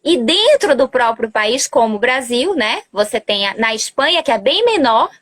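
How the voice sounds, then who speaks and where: A woman's voice: a little high, very animated, moderate pace, fairly bright tone. A woman language teacher explaining at length in a casual live-stream chat over a video call, talkative and correcting herself as she goes. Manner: chatty, explanatory, with self-corrections.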